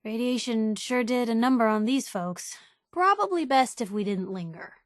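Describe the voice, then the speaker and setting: A woman's voice: a little high, animated, normal speed, no room sound, natural voice. Actress as a sardonic young woman reporter, dry recording. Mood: dry, teasing. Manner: wry, dry-witted, conversational. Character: sardonic, plucky young woman reporter in post-apocalyptic wasteland. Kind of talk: video game character voice lines.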